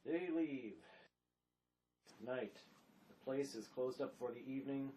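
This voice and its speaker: A man gives scripted narration, reading stage directions for a drama. His pitch is average for a man. The recording has clear noise in it. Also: slow, mellow, low-quality recording.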